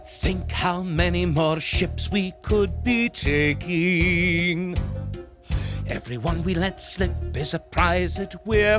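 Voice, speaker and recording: Sly, high-voiced pirate agitator. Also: male voice. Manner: persuasive, insinuating, sarcastic. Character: discontented scheming pirate crewman, sly, resentful, misogynist agitator. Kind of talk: musical audio drama acting, breaking into song.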